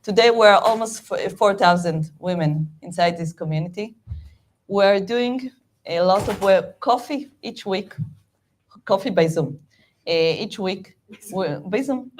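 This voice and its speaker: A middle-aged woman with an Israeli accent, a native Hebrew speaker, giving a halting, animated talk with many fillers and restarts as a guest speaker at a meetup. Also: mid-range voice. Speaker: guest speaker, project management VP.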